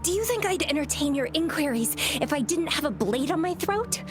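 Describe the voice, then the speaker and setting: A mysterious young woman from a video game with a fairly high voice. She teases and taunts with complete self-assurance, sounding playful and dangerous. Music plays clearly behind her. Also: animated, brisk. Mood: playful menace. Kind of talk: scripted video game acting.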